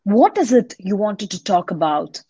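A woman playing a composed, scheming doctor in an audio drama, with deliberate, theatrical delivery. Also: mid-range voice, very animated, warm tone, breathy edge, highs cut off. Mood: composed, calculating. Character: composed, scheming female doctor with big plans. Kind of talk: amateur audio drama dialogue.

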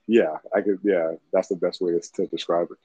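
A man with a very low, husky voice talks in a loose, rambling way and swears casually. The sound is dull and lo-fi. The room is completely dry. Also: warm tone, no room sound. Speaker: podcast co-host. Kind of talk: casual chat.

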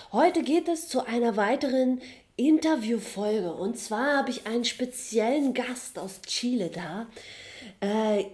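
A woman's voice: fairly high pitch, a little mellow. A woman hosting a podcast, friendly and informal, with many fillers and short agreeing sounds. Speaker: podcast host, hypnosis coach and tea master. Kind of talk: podcast host intro and interview.

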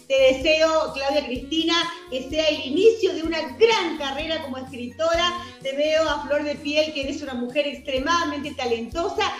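Sing-song high co-host woman, roomy. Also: moderate pace, few pauses. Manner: sing-song, formal and effusive. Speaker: radio co-host. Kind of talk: ceremonial welcome on radio. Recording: roomy and distant, music behind.

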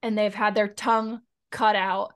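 A woman's voice: medium pitch, level tone, unhurried, fairly bright tone. A woman co-hosting a book podcast, recapping a story in casual, informal talk full of filler likes.

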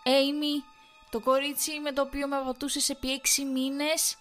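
A woman voicing a frantic young wife who turns shrill and tearful. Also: soft background music, natural voice. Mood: hysterical. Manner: shrill, breaking into sobs.

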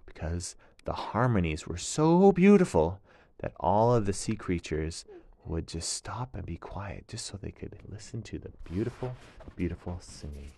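A man narrating a children's bedtime story in a gentle, drawn-out way with repeated phrases. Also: sits low, sing-song, a little hiss or hum.

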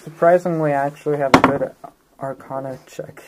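A woman's voice: low-pitched, steady tone, a little fast, very noisy. A woman chatting casually as a podcast co-host and tabletop game player. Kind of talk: casual chat.

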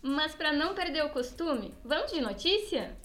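A young woman co-hosts a casual podcast chat. She explains things at length and keeps checking in with tag questions. Her voice is high, and the recording has noticeable room echo. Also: very animated, normal speed, a bit bright, soft background music. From Brazil. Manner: explanatory, thinks aloud with frequent tags. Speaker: podcast co-host. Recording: echoey room.

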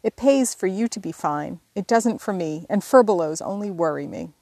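A woman's voice: on the low side, expressive, moderate pace, vocal fry, mellow. A woman narrator plays a blunt, stubborn older sister who grumbles wryly in her own plain voice. The sound is close and dry, with no echo. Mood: grumbling. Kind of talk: audiobook character reading.

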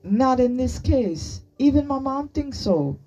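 A brash young girl, played by a woman narrator in an audiobook. She is bossy and quick to snap, with a raised pitch and a slightly distant sound. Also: expressive. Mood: defiant. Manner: blunt, retorting, loud.

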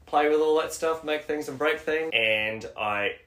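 A man's voice: fairly high pitch, unhurried, fairly bright tone, reverberant. A young man, a podcast guest chatting casually and rambling with self-corrections. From Australia. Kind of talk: casual interview chat.

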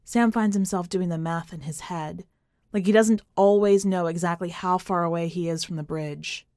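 A woman's voice: fairly low pitch, steady tone, moderate pace, darkish tone, no room sound, clean studio sound. A woman podcast host who reads fiction aloud as a narrator and comments on it. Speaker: podcast host and editor reading a short story.

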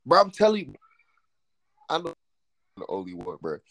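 A man in his twenties co-hosting a podcast, talking in an animated way that swings from low mutters to laughing outbursts. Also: moderate pace, dry recording. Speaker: podcast co-host. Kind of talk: casual podcast chat.

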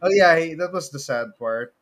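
Man, Filipino accent, fairly high pitch, casual discussion, halting delivery, repeated phrases, podcast co-host. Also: lively intonation, a bit bright. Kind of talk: casual movie discussion chat.

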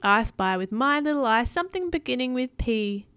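Woman acting a storybook spookfish, strange and spooky, playful, insistent, loud, clean close sound. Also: fairly high pitch, lively intonation, moderate pace, clean tone, no echo, pitched above the performer's own voice.

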